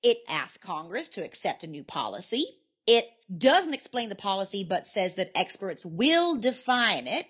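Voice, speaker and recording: A woman playing a chatty, meddling middle-aged aunt who poses as a political expert in a comic audio drama, folksy and gently needling. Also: mid-range voice, very animated, normal speed, slightly rough, dull audio. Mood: patient, patronizing. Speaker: guest expert on satirical political show. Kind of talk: comedic audio drama dialogue.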